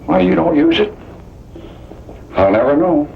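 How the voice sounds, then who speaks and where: Casting: wry, fretful Western sidekick, gravelly and swooping, rural drawl, radio drama. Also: male voice, a little high, very animated, a little slow, a little hiss or hum, heavily acted. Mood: wry, urging. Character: folksy, talkative, worrying old-West sidekick, male. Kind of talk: old-time radio western acting. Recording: muffled old radio broadcast, music behind.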